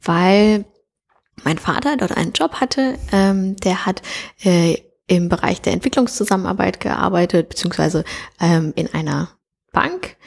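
A woman's voice: fairly low pitch, a little slow, gravelly, husky. German woman in her thirties, chatty. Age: 30s. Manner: relaxed storytelling with frequent fillers and laughs. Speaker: guest. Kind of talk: conversational interview. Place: coworking space.

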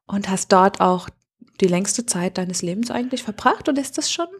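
A woman's voice: mid-range voice, expressive, moderate pace, slightly rough. A woman hosting a podcast, chatting casually and asking the guest friendly questions. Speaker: podcast host and interviewer.

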